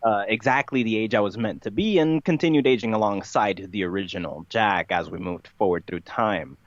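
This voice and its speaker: A man telling his story as a podcast guest in a casual, matter-of-fact deadpan, with halting phrasing. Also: lowish voice. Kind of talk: casual interview storytelling, played straight. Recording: dull, slightly lo-fi podcast audio.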